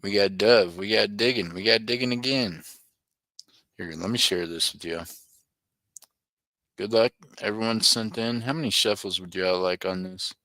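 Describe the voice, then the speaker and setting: A man's voice: on the low side, moderate pace, pauses often, pushed and bright, dry recording. A middle-aged man hosting a live auction stream, chatting and joking with viewers by name. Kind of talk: casual livestream chat.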